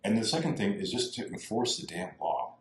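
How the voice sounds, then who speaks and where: A man's voice: on the low side, expressive, fairly quick, reverberant, slight background noise, poor audio. A man talking as a political candidate on a podcast, earnest and repeating his points for emphasis. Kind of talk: podcast discussion.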